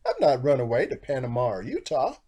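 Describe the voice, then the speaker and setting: A man's voice: medium pitch, huge pitch swings, brisk, dark tone, husky. A man voicing a tiny, curious, pouty boy chick in a storybook, plaintive and exclaiming. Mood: protesting.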